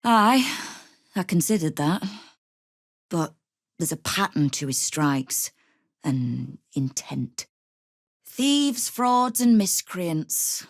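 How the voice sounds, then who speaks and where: Casting: tough thieves' guild boss for a game, female, low pitch, wry, controlled menace, dry close recording. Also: dry recording, heavily acted. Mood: cool, wry. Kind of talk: video game character dialogue.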